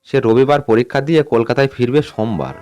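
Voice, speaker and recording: A man narrating a scripted ghost story in a measured, suspenseful way. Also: mid-range voice, dull audio, noisy background. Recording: music bed under the voice. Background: background music.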